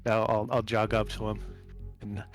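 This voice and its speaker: A man's voice: on the low side, little pitch movement, moderate pace, soft background music. A man chatting casually as a player in a tabletop role-playing game, describing what his character does.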